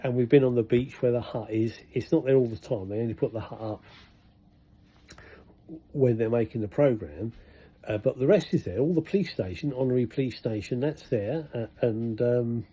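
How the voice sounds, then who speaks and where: A man's voice: mid-range voice, normal speed, mellow, slightly rough. An older man, chatting casually and rambling in a vlog, full of fillers and restarts. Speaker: vlogger. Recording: dull lo-fi sound.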